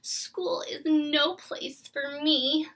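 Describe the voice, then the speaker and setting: A woman voicing a sad, timid little girl from a storybook, plaintive, whiny and drawn-out in delivery. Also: high voice, fairly even intonation, fairly bright tone, distant mic, voice pushed up, heavily acted. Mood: miserable, plaintive. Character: sad, timid little girl mouse, miserable about her name.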